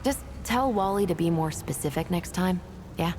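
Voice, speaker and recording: An actress playing a gruff, prickly weapons tinkerer, an impatient loner in a video game, with blunt sardonic delivery. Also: female voice, lowish voice, expressive, normal speed, a little mellow, slight background noise, natural voice. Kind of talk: video game character dialogue.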